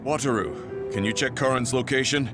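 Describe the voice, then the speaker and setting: An actor playing a calm, steady, mature squad mate in an anime-style video game. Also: male voice, mid-range voice, normal speed, over loud music, lightly acted. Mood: calm, considerate.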